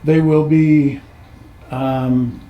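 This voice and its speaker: A man's voice: a little high, level tone, extremely slow, lo-fi sound. A man hosting an informal interview, with a folksy, easygoing way of phrasing questions. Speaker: interviewer, show host. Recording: echoey room.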